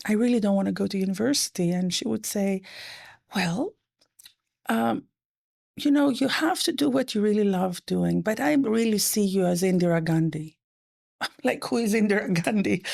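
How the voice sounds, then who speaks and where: A middle-aged woman guest, an economist whose first language was French, telling stories in an animated way, with quick bursts and soft, hushed quoting. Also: medium pitch, creaky. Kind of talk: conversational interview.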